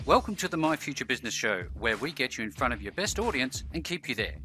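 A man's voice: medium pitch, very animated, sharp tone. Upbeat man hosting a podcast. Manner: upbeat, fast promotional delivery with light laughs. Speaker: podcast host. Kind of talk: scripted show intro then interview questions.

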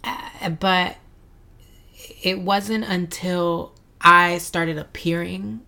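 A woman's voice: on the low side, steady tone, drawn-out words, vocal fry, bright, forceful tone. A woman guest in an interview, talking thoughtfully and searching for words with frequent fillers. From Mexico City and San Antonio, Texas. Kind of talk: reflective interview conversation.

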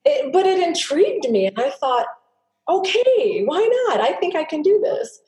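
A middle-aged woman, a native Greek speaker, telling her personal story as a podcast guest in an earnest, halting way with many restarts. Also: sits high, big pitch swings, a little mellow. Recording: roomy echo.

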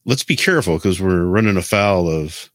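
A man's voice: low-pitched, lively intonation, moderate pace, no echo, clean studio sound. A man explaining things wryly in casual conversation. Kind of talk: casual chat.

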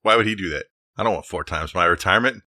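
A man's voice: low voice, fast talker, sharp tone, no echo, clean studio sound. A man co-hosting a podcast in casual, playful, joking chat. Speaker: podcast co-host.

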